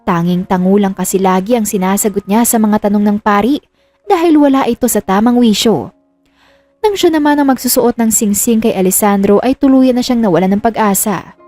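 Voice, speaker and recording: A woman's voice: mid-range voice, a little fast, warm tone, no echo. A woman reading scripted narration of a romantic drama as the storyteller. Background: music.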